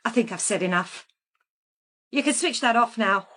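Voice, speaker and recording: A woman's voice: fairly high pitch, expressive, moderate pace, bright, forceful tone. An actress playing a calculating woman who confesses to killing in a matter-of-fact, unrepentant way, in a naturalistic radio crime drama. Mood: dismissive. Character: calculating female killer calmly confessing in a police interview.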